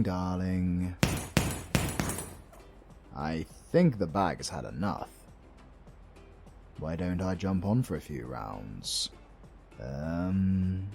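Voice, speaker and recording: Gravelly British retired supervillain husband, played by a man. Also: low voice, darkish tone, exaggerated voice. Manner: theatrical, teasing, cooing endearments. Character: retired male supervillain husband, smug, doting, playfully flirty. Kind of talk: romantic comedy roleplay audio.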